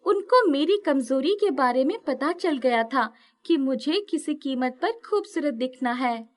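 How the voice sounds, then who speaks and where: A woman acts out a hunchbacked former dancer in a moral tale. She is sweet-spoken and high in pitch, telling things slowly with gentle, reflective wisdom. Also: expressive.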